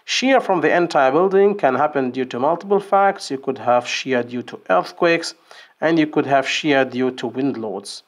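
A man's voice: mid-range voice, normal speed. A man teaching an engineering lesson over a screen-share drawing, explaining step by step with fillers and restarts. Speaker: engineering instructor. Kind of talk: whiteboard tutorial. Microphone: screen recording voice-over.

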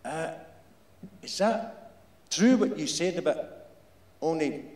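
A man's voice: on the high side, animated, slow, darkish tone. A man playing a timid, awkward shipyard electrician, stammering hesitantly in a story reading. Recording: echoey hall, live stage PA.

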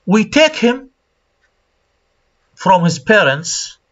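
Slow, lively Iraqi-accented male lecturer. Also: sits high. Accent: Iraqi Arabic. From Iraq. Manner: slow, repeats key phrases and asks the class rhetorical questions. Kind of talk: recorded online lecture. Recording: dull lo-fi screen-recorded lecture audio.

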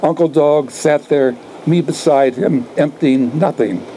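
An older man in his seventies giving a live poetry reading at a microphone outdoors, speaking in deliberate, declamatory short phrases. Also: on the high side, dark tone, slight background noise, poor audio. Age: older, 70s. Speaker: poet reading own work. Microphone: microphone on a stand.

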